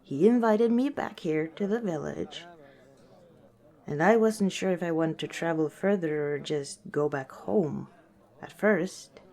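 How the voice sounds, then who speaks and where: A woman playing a friendly, teasing orc tavern owner in a softly spoken ASMR roleplay, chatting gently and without hurry. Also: low-pitched, measured pace. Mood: chatty, fond.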